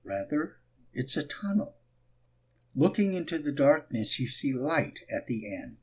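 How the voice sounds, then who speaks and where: A man narrating a guided meditation, giving instructions in short, deliberate phrases. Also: medium pitch, very animated, slightly rough.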